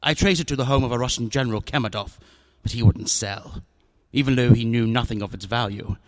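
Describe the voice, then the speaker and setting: An actor playing a fat, genteel, scheming older villain with a florid, unctuous manner in a radio drama. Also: male voice, mid-range voice, fairly quick, lightly acted.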